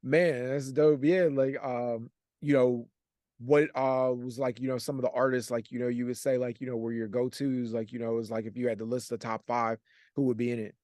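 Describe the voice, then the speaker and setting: A male interview host who leans on fillers in nearly every phrase. His voice has a medium pitch. The recording is dry, with no room echo. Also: level tone, moderate pace, darkish tone. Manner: heavy filler, constant "like, you know". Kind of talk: casual interview conversation.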